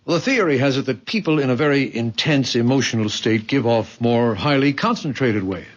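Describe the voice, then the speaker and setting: A man's voice: medium pitch, animated, fairly quick, lightly acted. An actor playing a polite, educated young man in a radio drama, explaining things in an earnest, hesitant way. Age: young adult. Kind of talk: old radio drama acting. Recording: muffled old radio recording.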